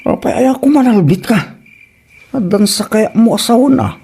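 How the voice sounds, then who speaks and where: A man's voice: high-pitched, expressive, normal speed, creaky, warm tone, a little hiss or hum. A man acting a grumbling, put-upon village husband with an Ilocano Filipino accent and an exasperated, scolding manner in a comedy drama.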